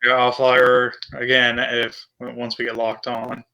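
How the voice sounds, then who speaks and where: A man's voice: medium pitch, completely flat delivery, takes it slowly, sharp tone. A man playing a tabletop game, talking casually with the group as a player.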